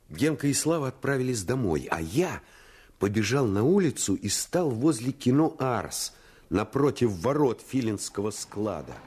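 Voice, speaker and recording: An actor playing a storyteller who narrates a boyhood adventure in a suspenseful, scripted radio drama style. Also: male voice, lowish voice.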